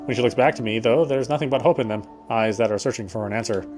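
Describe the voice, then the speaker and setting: A man with a middling pitch reads visual novel narration aloud in an expressive storytelling style. Game music plays clearly behind his voice. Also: fast. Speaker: player reading a game's narration aloud.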